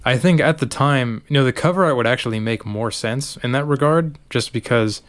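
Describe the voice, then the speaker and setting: A man's voice: mid-range voice, fairly quick. A man co-hosting a podcast, chatting in an easygoing way with plenty of fillers. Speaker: podcast co-host. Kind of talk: casual chat.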